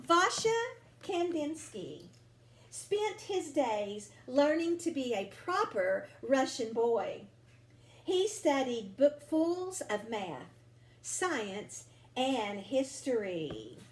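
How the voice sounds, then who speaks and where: A woman reading a children's picture book aloud expressively, drawing out words with deliberate, dramatic phrasing. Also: high voice, very animated. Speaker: storybook reader. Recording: roomy home recording with faint noise.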